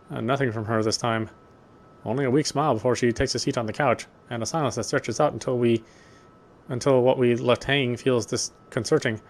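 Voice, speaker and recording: A man with a mid-pitched voice reads story narration from a visual novel. He takes it in a measured, literary manner, occasionally tripping on a phrase and going back over it. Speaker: let's player reading game text.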